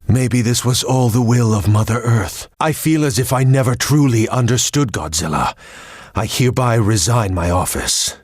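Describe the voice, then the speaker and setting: A man's voice: medium pitch, dry recording, clean studio sound. An actor playing a naive, idealistic male political leader in a video game, earnest and folksy in manner. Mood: regretful, resigned.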